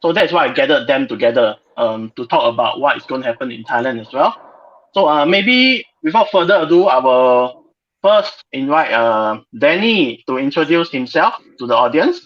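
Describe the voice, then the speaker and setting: A man's voice: mid-range voice, animated, moderate pace. A man moderating an informal online panel discussion as host, on a muffled video call.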